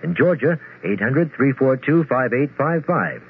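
A man's voice: medium pitch, sing-song, unhurried, slightly rough. A man reading a scripted 1970s radio spot as an announcer. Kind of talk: scripted 1970s radio public-service read. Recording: phone-like old radio, faint noise.

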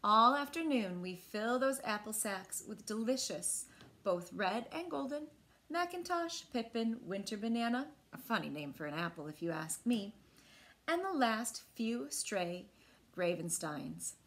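A woman in her thirties with an American accent, reading a picture book aloud to children with expressive, clearly phrased delivery. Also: a little high, a little slow. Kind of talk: children's read-aloud storytelling. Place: small indoor room.

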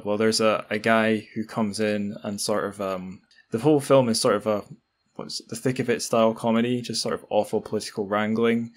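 A man hosting a podcast, musing tentatively in casual interview chat. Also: fairly low pitch. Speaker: podcast host.

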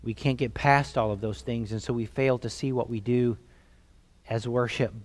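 A man's voice: medium pitch, fairly even intonation, normal speed, big-room sound. A man in his forties with a Southern US accent preaching a sermon from a church stage into a headset mic, earnest and emphatic, often repeating words as he builds a point. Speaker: pastor. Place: church sanctuary stage, seated behind a music stand.

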